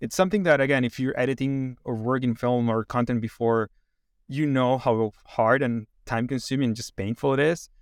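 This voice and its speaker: A man's voice: mid-range voice, clean tone, no room sound, studio quality. A man from Chile in his thirties, founder of an AI company, demoing and chatting casually with lots of fillers and restarts. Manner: halting, many fillers and restarts. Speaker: AI company founder giving a demo. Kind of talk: casual interview.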